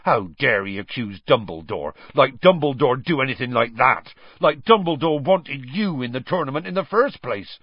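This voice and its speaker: A man voicing a huge, gruff, hot-tempered gamekeeper in an audiobook, growling in blunt colloquial speech. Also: mid-range voice, very animated, normal speed, dry recording, highs cut off, voice pushed up. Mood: angry.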